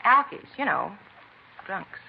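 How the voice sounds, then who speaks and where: An actress playing a nosy, pushy middle-aged neighbor in a 1950s radio crime drama, talking in a brassy busybody way. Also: female voice, medium pitch, very animated, slow pace, vocal fry, heavily acted. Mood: insistent. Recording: lo-fi vintage radio broadcast with faint noise.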